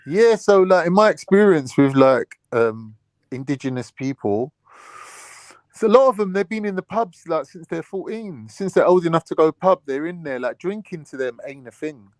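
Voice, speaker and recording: A man's voice: fairly high pitch, lively intonation, normal speed, no echo. A man chatting on a podcast panel, rambling and filling his talk with "like" and "you know what I mean". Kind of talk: casual debate chat.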